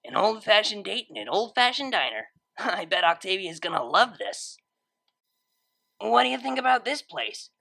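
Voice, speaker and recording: Chill teasing unicorn dj girl, acted by a story reader, bright n bouncy, slangy. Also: mid-range voice, sing-song, normal speed, creaky, voice pushed up, exaggerated voice. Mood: pleased. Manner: casual, slangy, breezy. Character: laid-back, teasing young female unicorn DJ.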